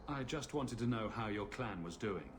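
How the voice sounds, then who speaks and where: A skeptical champion of a fantasy game played by a man, with a fairly low voice over noticeable ambient noise. He is plain-spoken and wary, delivering everything matter-of-factly. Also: expressive, moderate pace, lightly acted. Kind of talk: video game character acting.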